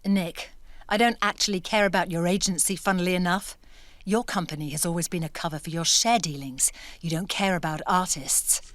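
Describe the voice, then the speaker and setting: Actress as composed, quietly assertive woman writer, dry sound. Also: fairly low pitch, a little fast, no room sound, close to an everyday voice. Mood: pointed, controlled. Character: shrewd, self-assured woman writer secretly behind a male pen name. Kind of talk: naturalistic audio drama acting.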